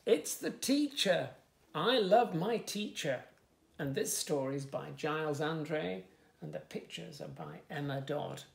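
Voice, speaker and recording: A man in his fifties reading a picture book aloud to young children, with expressive, sing-song delivery and soft asides. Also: on the high side, measured pace, vocal fry. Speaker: children's story reader, host. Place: small furnished room indoors, bookshelves.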